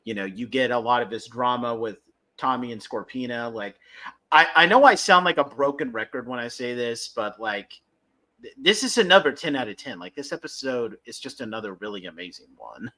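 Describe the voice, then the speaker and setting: A man's voice: mid-range voice, lively intonation, brisk, sharp tone. A man hosting a fan podcast, casually recapping and reviewing episodes with enthusiasm. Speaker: podcast host.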